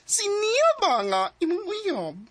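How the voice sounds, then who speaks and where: An actress playing an excitable, gossipy but concerned young woman friend in a Cebuano Filipino radio drama. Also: extremely high pitch, slow pace, no room sound, full character voice. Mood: hesitant, concerned.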